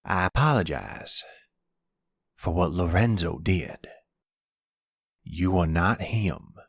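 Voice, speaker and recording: A man acts out a gentle older grandfather full of regret. His voice is deep and unhurried, soothing and measured, as in an intimate roleplay audio drama. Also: animated, breathy edge, no echo, clean studio sound, heavily acted. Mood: apologetic, firm. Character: remorseful older grandfather, silver fox, tender, soothing.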